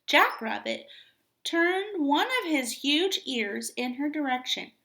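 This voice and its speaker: Slow-paced, higher-voiced woman reading a storybook. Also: gravelly. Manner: deliberate, expressive storybook delivery. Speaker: teacher reading to pupils. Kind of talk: children's story read-aloud.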